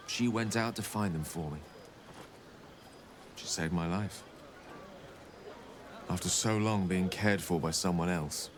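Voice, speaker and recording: An actor playing an eloquent wizard who reminisces wistfully in a video game. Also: male voice, deep voice, noisy background. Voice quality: eloquent, theatrical.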